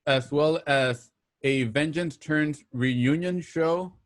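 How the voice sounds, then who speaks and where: A man hosting an online show, giving casual, slightly halting announcements and plugs to listeners. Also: on the high side. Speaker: host of an online radio drama show.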